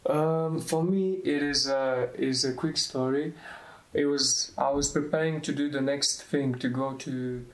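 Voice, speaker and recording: A man's voice: mid-range voice, steady tone, unhurried. A young man from Romania with a Romanian accent, telling his story casually as an interview guest. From eastern Romania. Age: young adult. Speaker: interview guest, mission school student. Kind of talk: casual personal account in interview. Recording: echoey room.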